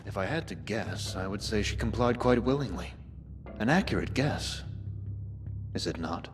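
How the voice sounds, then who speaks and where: A man playing a cold, domineering zealot keeper in a video game, speaking in a formal, measured, menacing manner. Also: fairly low pitch, expressive, lightly acted. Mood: cold, scornful. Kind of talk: dramatic video game dialogue. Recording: long reverb, loud music behind.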